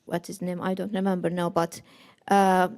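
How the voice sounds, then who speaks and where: Female panelist, mellow warm tone, hesitant delivery, non-native speaker. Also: on the low side, level tone, moderate pace. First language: not Finnish. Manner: hesitant, searching for words.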